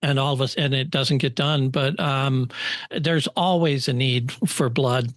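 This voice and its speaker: Male podcast co-host, medium pitch, dry close sound, conversational and slightly halting. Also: fairly even intonation, no echo.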